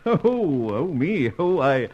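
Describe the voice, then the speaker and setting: Chuckling upper-class British official, high and animated; dull vintage radio recording. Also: male voice, slow, full character voice. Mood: jovial. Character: jovial British diplomat, older, hearty.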